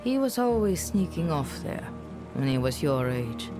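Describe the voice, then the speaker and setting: A woman's voice: deep voice, sing-song, a little slow. An actress playing a wary, blunt older village woman with a wry manner in a fantasy video game. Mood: wry, gruff. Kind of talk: dramatic video game acting. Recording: loud background music.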